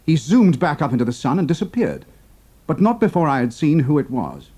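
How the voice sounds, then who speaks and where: A man voicing a young British military pilot, composed and quick-witted, speaking with formal, clipped, respectful indignation in a dramatised adventure story. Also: on the high side, very animated, mellow, pitched above the performer's own voice. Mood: respectful, aggrieved. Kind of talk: dramatised audiobook character dialogue.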